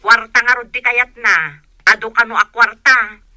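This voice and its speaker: A lively Ilocano elder sister in a radio comedy. Her voice is highish and very bright, expressive and plain-spoken. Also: normal speed, dry recording, clean studio sound. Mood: matter-of-fact. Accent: Ilocano, northern Philippines. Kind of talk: radio comedy drama acting.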